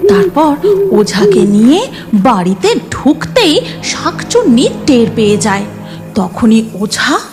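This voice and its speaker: A woman's voice: a little high, very animated, takes it slowly. A woman narrating a cartoon story in a dramatic, scripted storytelling style. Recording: music under the voice.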